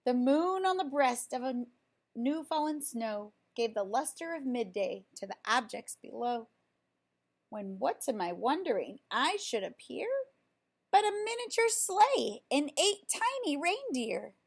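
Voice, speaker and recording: A woman reading a picture book in verse aloud as an expressive storyteller. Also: sits high, unhurried, breathy edge. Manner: expressive, addresses listeners in asides.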